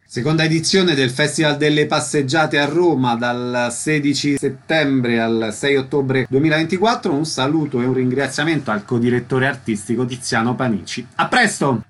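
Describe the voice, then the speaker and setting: A man's voice: medium pitch, moderate pace, few pauses. A man hosting a radio interview, presenting in a scripted style and putting brief questions to guests.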